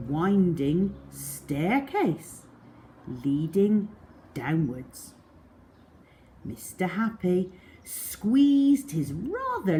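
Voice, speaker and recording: A woman reads a storybook to young children. Her voice sits slightly low for a woman and moves very slowly and deliberately. Her pitch rises and falls in wide, expressive swings, and she stretches out words for effect. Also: a little hiss or hum. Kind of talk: children's storybook read-aloud.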